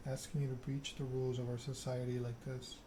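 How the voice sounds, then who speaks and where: A man plays a proud, scheming game vampire, delivering a self-important monologue in ASMR style. The pitch is middling and very level, and clear noise sits behind the voice. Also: level tone, brisk, lightly acted. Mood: smooth, persuasive. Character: proud, scheming vampire aristocrat telling their story. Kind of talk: ASMR read-aloud of game dialogue.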